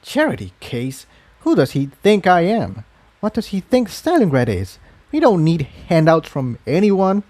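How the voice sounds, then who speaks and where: A man's voice: sits high, big pitch swings, measured pace, darkish tone, voice pushed up, exaggerated voice. A man voicing a proud young female unicorn refugee, earnest and defensive, in a fantasy story. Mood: indignant.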